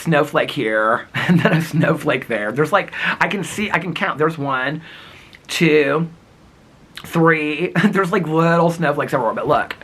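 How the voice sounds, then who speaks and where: A man vlogging outdoors in wind and snow, talking casually in a rambling, giggly way with a husky, crackly voice. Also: a little high. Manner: rambling, giggly, animated storytelling. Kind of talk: casual chatty vlog. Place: outdoors in windy snowy weather.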